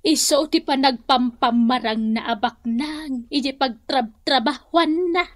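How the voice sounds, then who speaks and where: A woman's voice: high voice, measured pace, no room sound, studio quality. A woman with a Filipino accent playing a meek, hurt middle-aged mother in a radio drama, speaking hesitantly. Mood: sad, plaintive. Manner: plaintive, hesitant. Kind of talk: Ilocano radio drama acting.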